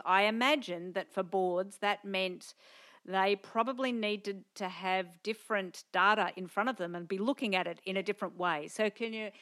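Woman host asking careful questions, mid-pitched, steady. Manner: measured, inquisitive. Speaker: interviewer. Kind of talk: interview questions.

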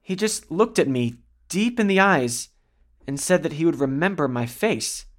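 A man's voice: a little high, expressive, moderate pace, lightly acted. An actor playing a nervous young pizza delivery man giving witness in an audio drama.

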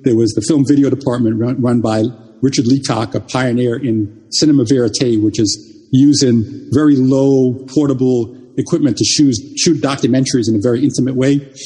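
Older man from Jamaica, Jamaican first language, interview guest; mellow, husky voice, halting delivery with a long reverb. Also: mid-range voice. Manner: halting, many restarts and repeated words. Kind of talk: reminiscing interview talk.

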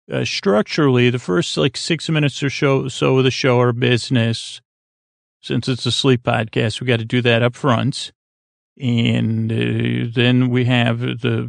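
A man with a creaky voice hosting a bedtime podcast, talking in a calm, gentle, meandering way with halting self-interruptions. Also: medium pitch, no room sound. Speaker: podcast host. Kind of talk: rambling, soothing bedtime podcast monologue.

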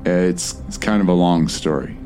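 An actor as a very low-voiced, harmless stranger in a post-apocalyptic audio drama. He is hesitant and placating, stumbling over words, with faint music behind. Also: normal speed, dark tone, close to an everyday voice. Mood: nervous, placating. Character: nervous, harmless stranger man from another world.

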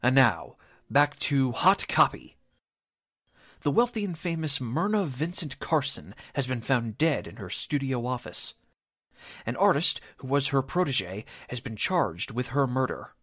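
A male announcer delivers scripted old-time radio narration. His voice is a little husky, and his pitch moves widely with measured drama. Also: sing-song, a bit bright.

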